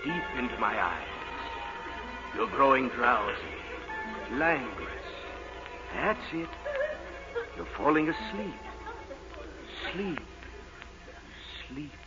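An actor playing a solemn, commanding psychiatrist hypnotist in a 1940s radio comedy, intoning his words slowly and theatrically. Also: mid-range voice. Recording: dull old radio recording with music.

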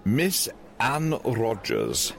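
Very slow, gravelly butler. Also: male voice, medium pitch, huge pitch swings, fairly bright tone, heavily acted. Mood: stately. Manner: pompous, drawn-out announcing. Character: stately, formal butler announcing guests. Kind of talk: radio drama acting in 1940s manner.

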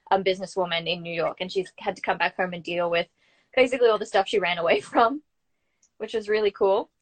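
Young woman, Australian accent, mid-pitched voice, casual interview guest, informal storytelling. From Brisbane, Australia. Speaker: guest, actor and acting student.

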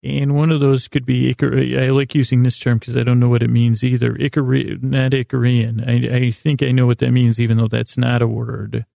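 A man with a creaky, gravelly voice hosting a sleep podcast, rambling in a halting, self-deprecating monologue that keeps losing its thread. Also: mid-range voice, little pitch movement, warm tone, dry recording, clean studio sound. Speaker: podcast host.

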